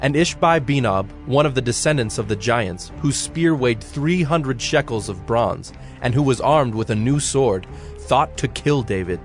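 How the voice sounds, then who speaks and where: A man's voice: medium pitch, expressive, unhurried. Solemn male scripture narrator over faint music.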